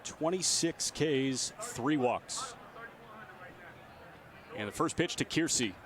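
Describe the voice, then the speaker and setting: A man's voice: mid-range voice, expressive, takes it slowly, crowd noise. A man doing live radio play-by-play commentary of a baseball game. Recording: outdoor stadium ambience behind voice. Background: ballpark ambience.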